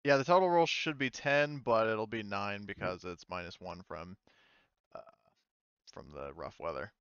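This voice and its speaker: A man narrating a tabletop game casually as game master, halting and informal in his delivery. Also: fairly low pitch, a bit bright, clean tone, no echo, highs cut off. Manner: halting, informal, mild swearing.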